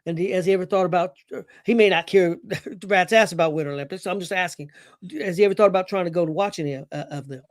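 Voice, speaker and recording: Man, sports co-host, easygoing, hesitant, dry sound, slightly high voice. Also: rapid-fire, dry recording. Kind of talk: casual sports chat.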